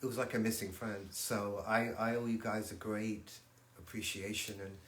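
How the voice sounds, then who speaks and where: An older man, a guitarist on a fan livestream, telling stories about his past in a relaxed way that often trails off. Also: lowish voice, normal speed. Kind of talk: casual reminiscing chat. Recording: echoey room.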